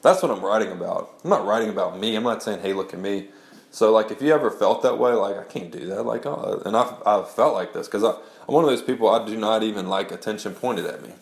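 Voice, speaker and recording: Blogger, male, deep and gravelly, nasal head-cold congestion, loose rambling delivery in a roomy space. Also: fast. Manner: rambling, many restarts and "like"s. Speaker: blogger and memoir writer. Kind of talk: casual spoken audio blog.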